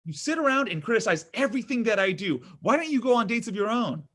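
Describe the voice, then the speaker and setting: A man's voice: high voice, very animated, fast, a bit bright, heavily acted. A man acting a needy, self-dramatizing man in his thirties at a comedy table read, exasperated and argumentative. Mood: indignant. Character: needy, whiny, self-dramatizing thirty-four-year-old son.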